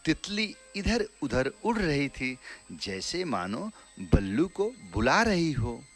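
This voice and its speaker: A man reads scripted narration for a children's story. His delivery is slow with lively, wide intonation, and faint music plays behind him. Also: on the high side.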